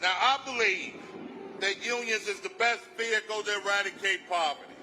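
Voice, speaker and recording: An American man delivering a forceful, declamatory rally speech as a union speaker. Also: high voice, normal speed. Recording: live rally, faint background noise.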